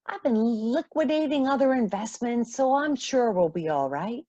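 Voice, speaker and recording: Husky, caring foster mother played by a woman, muffled. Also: on the high side, lively intonation, normal speed, warm tone, no room sound. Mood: reassuring. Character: caring, reassuring foster mother under strain. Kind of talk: radio play acting.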